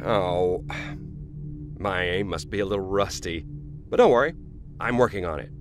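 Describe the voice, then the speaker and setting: An actor playing a greedy, ruthless corporate boss in an audio drama, smug, sardonic and profane. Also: male voice, sits low, normal speed, over music, close to an everyday voice. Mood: menacing, irritated. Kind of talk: dramatic radio play acting.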